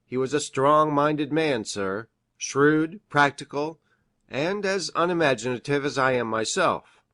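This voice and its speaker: Give this storybook figure a lively, swooping delivery from a woman putting him on. He is an eccentric man of science and medicine, pedantic and formal, pitched down low. His manner is earnest and effusive, with great care over exact wording. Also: unhurried. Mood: solemn, precise. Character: eager, pedantic, eccentric male country doctor and scientist. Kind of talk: audiobook character voice.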